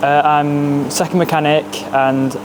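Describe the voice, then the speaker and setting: A man's voice: fairly high pitch, little pitch movement, unhurried. A nineteen-year-old man from Cheshire who answers briefly and unhurriedly. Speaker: student rally mechanic. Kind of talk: casual interview answers. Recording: noisy background hiss.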